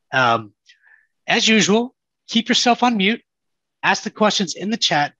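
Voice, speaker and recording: Male webinar host, crisp bright voice, dry muffled call sound, easygoing. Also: medium pitch, dry recording. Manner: casual, self-deprecating. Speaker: community show host. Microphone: video call.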